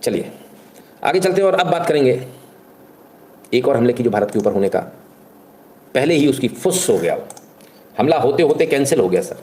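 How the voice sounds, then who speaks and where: Male commentator, mellow but emphatic. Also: medium pitch, sing-song, normal speed, pauses often, slight background noise. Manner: emphatic, rhetorical questions, colloquial, mixes English into Hindi. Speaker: live commentary show host. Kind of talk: opinionated news commentary to a live audience.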